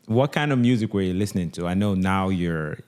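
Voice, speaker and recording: A man's voice: low voice, lively intonation, brisk, darkish tone, clean tone. A man who grew up between Nigeria and Jamaica, hosting a podcast and asking careful, attentive questions. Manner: attentive, many yeah-yeah backchannels, careful phrasing. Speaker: podcast host and physician. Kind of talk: casual interview questions.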